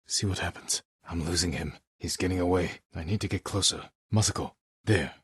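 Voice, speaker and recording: Low, husky, stern samurai. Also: male voice, no echo, clean studio sound. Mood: low, wary. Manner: restrained, measured, terse delivery. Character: stern, honorable young samurai warrior, weary but resolute. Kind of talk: dramatic video game acting.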